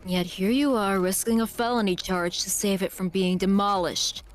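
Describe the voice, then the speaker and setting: A woman voicing a cool, composed female prosecutor who speaks in a measured, probing way, in a fan voice acting of a game. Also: mid-range voice, fairly even intonation, music in the background, lightly acted.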